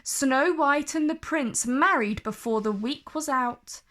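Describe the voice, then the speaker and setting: Female children's narrator: expressive story read-aloud, unhurried and deliberate, higher register.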